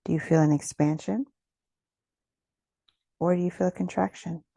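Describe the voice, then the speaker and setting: A woman leading a guided meditation in a gentle, soothing, unhurried manner, with a soft, breathy voice and pauses between phrases. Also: fairly low pitch, steady tone, no echo.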